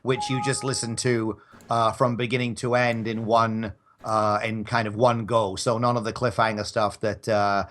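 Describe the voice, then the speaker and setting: A man's voice: on the low side, flat delivery, moderate pace, a bit bright. A man chatting casually as a guest on a live show, rambling and modest about his own work. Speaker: guest, audio drama maker.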